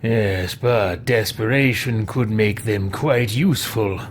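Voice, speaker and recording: An actor playing a measured, musing, calculating adventurer in a fantasy video game. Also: male voice, fairly low pitch. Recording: clear background noise.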